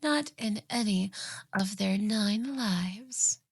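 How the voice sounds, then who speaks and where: A woman's voice: mid-range voice, takes it slowly, creaky, slightly rough, no room sound, clean studio sound, full character voice. A woman voicing a clingy, boastful, obsessive fox girl in an ASMR roleplay, coaxing and doting in a theatrical way. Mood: pleading, possessive.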